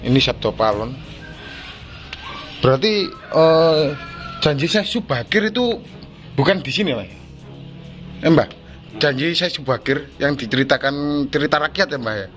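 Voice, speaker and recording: A man hosting a casual vlog outdoors, asking quick questions and repeating the answers back. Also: fairly high pitch, animated, a bit bright. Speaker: vlog host. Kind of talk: casual field vlog interview. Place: outdoors at an old graveyard. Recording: music bed under the talk.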